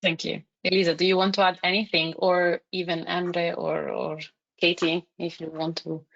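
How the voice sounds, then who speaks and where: A woman's voice: on the low side, little pitch movement, moderate pace, gravelly, a bit bright. Italian-accented woman moderating a webinar. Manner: friendly, laughs easily, reads questions aloud. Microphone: video call. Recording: dull video call audio.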